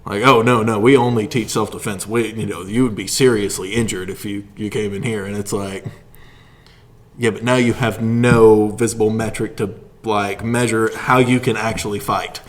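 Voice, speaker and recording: A man's voice: lowish voice, steady tone, moderate pace. A man as a podcast guest, chatting casually and telling rambling stories in which he acts out what people say. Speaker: podcast guest, martial artist.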